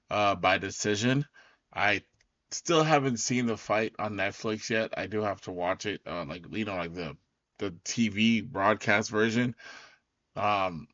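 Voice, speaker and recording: A man hosting his own podcast in a loose, rambling way, his voice raspy as it recovers. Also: on the low side, bright, forceful tone, no echo, dull audio. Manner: loose, rambling, full of fillers and restarts. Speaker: podcast host. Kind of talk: casual solo recap talk.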